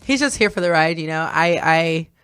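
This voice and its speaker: A woman hosting a podcast in a casual, halting, self-interrupting way. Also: low-pitched, animated, a bit bright, faint music behind. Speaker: podcast host. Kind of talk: casual entertainment discussion.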